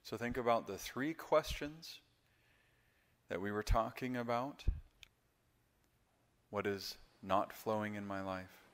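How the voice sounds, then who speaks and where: A man with a very low voice guides a meditation session as a yoga teacher. He speaks very slowly and soothingly, leaving long pauses between short phrases. His delivery stays calm and unhurried. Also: smooth. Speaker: yoga nidra meditation instructor.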